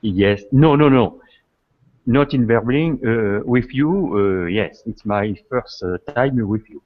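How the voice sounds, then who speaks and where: A man with a French accent, learning English and giving short, halting replies. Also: medium pitch. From France. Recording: echoing, muffled online call.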